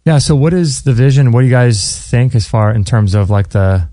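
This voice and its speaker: A man with a New Zealand accent hosts a casual radio interview in the studio. His voice is mellow and warm, and the sound is dry and close to the mic. He talks in an upbeat, encouraging way and laughs easily. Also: medium pitch, lively intonation, normal speed, no echo. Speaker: radio show host. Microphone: studio microphone.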